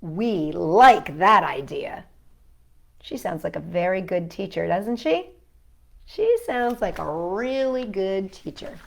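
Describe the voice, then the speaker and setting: A woman hosting a children's storytime, reading a picture book aloud and chatting gently with young viewers, asking them questions. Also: medium pitch, lively intonation, takes it slowly, gravelly.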